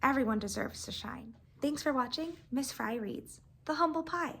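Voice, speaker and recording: A woman teacher doing an expressive read-aloud of a picture book for her students, adding explanatory asides in an animated storytelling manner. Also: sits high, moderate pace, vocal fry, a little hiss or hum.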